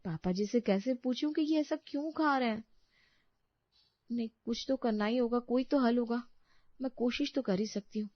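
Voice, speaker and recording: A woman playing a gentle, anxious and dutiful young daughter-in-law in a family story, speaking politely and earnestly. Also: a little high, normal speed, no echo, lo-fi sound, natural voice. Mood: troubled. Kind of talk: acted dialogue in a Hindi family story.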